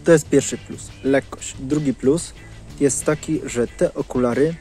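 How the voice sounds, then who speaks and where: A man's voice: medium pitch, a little slow, warm tone, faint music behind. A man in his thirties giving a casual product review to camera, recorded outdoors on a lapel mic. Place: outdoors in leafy woodland. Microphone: clip-on lapel mic.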